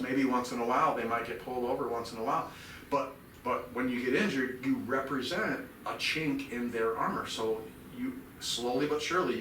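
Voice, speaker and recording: A man's voice: medium pitch, a bit bright, a little hiss or hum. A middle-aged man telling his story as a podcast guest, earnest and rambling. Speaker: podcast guest, veteran nonprofit founder. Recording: roomy and distant from the mic.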